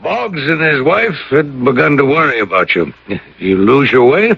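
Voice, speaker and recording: An actor in an old-time radio drama playing a gracious older colonel who speaks in a gallant, deliberate drawl. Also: male voice, mid-range voice, expressive, gravelly, slightly rough. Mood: gracious, genial. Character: courtly older colonel, folklore hobbyist, gracious and ominous. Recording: dull lo-fi old radio recording.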